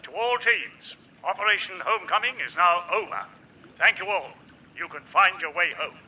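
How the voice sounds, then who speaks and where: An English actor playing a brisk, smug senior police commander in a British radio drama. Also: male voice, sits high, animated, pushed and bright, heavily acted, low-quality recording. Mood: official.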